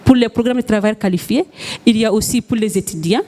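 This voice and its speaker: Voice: medium pitch, fast, mellow. A speaker explaining practical matters in a plain, hesitant way. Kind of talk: informative explanation.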